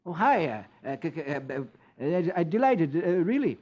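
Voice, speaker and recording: Muffled old radio recording of a man as a gawky young tutor. His voice sits fairly high as he stammers, flustered and hesitant, in a 1950s radio serial. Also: big pitch swings, normal speed. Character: awkward, skinny young nephew posing as tutor.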